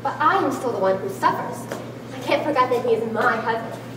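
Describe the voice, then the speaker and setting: A woman's voice: on the high side, a little fast, close to an everyday voice. A young woman in an amateur stage play, playing a wife's raw, passionate, bitter inner self with emphatic, agitated delivery. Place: large school hall with small audience. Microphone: far camera. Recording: echoey hall, distant camera, loud room noise.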